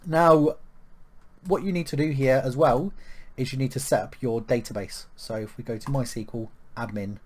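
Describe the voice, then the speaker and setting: Man from the UK talking through a software setup. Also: medium pitch, moderate pace. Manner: relaxed, filler-heavy walkthrough with frequent ums. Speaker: web tutorial presenter. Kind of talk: screen-recorded software tutorial.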